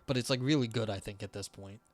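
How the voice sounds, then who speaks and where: A man's voice: fairly low pitch, quick pace. Rambling young man streaming, voice tiring. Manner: rambling, many fillers and restarts. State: voice going hoarse. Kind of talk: casual live-stream chat.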